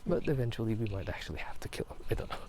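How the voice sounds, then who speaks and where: A man doing casual ASMR game talk in a soft, breathy half-whisper, musing in a halting, rambling way. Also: lowish voice, expressive. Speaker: ASMR video host. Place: outdoors at night. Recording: noisy outdoor ambience. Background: drainage pipe water, passing cars.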